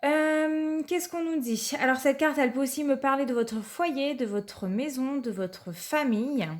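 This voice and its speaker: A woman's voice: high-pitched, unhurried. A woman with a cold giving a weekly card reading to her viewers, hesitant and full of fillers. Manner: hesitant, many fillers, repeats "tout simplement". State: has a cold. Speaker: card reader.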